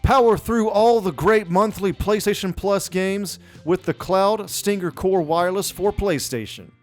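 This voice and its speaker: A man's voice: high voice, a little slow, soft background music. A man co-hosting a podcast in a colloquial, laid-back manner. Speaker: podcast co-host. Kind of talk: casual banter and ad reads.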